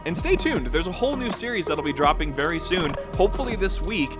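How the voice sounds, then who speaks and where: A man's voice: on the high side, big pitch swings, quick pace. A man hosting a gaming community update in a casual, chatty, enthusiastic way. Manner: enthusiastic, informal. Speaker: host of a gaming community show. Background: background music.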